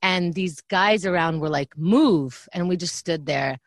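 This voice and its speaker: A woman's voice: on the low side, measured pace, dry recording, clean studio sound. A woman from Israel, raised in New York, chatting as a guest and telling stories with lots of "like". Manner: animated storytelling, lots of "like". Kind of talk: casual podcast chat.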